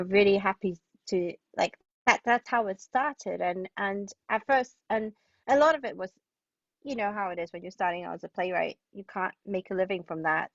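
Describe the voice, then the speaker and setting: A woman's voice: medium pitch, no room sound, dull audio. A woman from Hong Kong speaking casually as an interview guest, chatty and tentative in her phrasing. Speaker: playwright and translator, interview guest.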